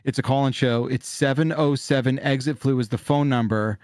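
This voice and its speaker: A middle-aged man hosting a call-in podcast in casual chat, often halting and restarting his sentences. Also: medium pitch, steady tone, a little mellow, no echo, clean studio sound. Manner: rambling, halting, self-interrupting. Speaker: podcast host of a call-in show.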